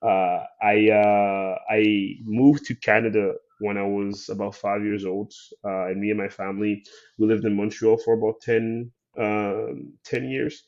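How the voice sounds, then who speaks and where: A man from Haiti, a filmmaker guest, telling his life story in a thoughtful, soft-spoken way with many pauses and "um"s. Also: fairly low pitch, flat delivery, lo-fi sound. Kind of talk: interview storytelling.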